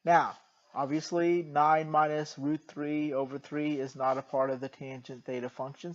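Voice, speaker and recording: A man teaching a math lesson in a soft voice, working through a problem step by step and slipping in dry jokes. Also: medium pitch, dull audio. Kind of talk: jokey recorded math lesson.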